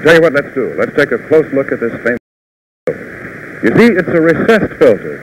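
A middle-aged American man hosting a 1950s television news show, with polished, deliberate announcer delivery. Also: mid-range voice, big pitch swings. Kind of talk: 1950s live TV news and sponsor pitches. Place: television studio. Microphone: lapel or tie microphone. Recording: noisy vintage 1950s television broadcast.

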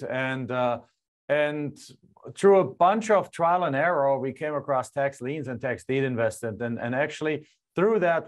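A middle-aged man from Germany with a German accent, a guest telling his story animatedly in a casual interview, recorded on a lapel mic in a home studio. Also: medium pitch. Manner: animated, gestures a lot, frequent restarts. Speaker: guest, land investor. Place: home studio with screen and map backdrop.

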